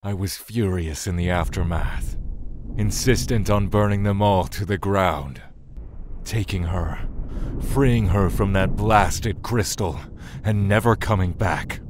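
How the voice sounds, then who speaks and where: An actor playing a brooding, bitter male god in an audio drama, grieving and vengeful, speaking slowly with cold menace. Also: low voice, background noise. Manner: slow, deliberate, cold menace.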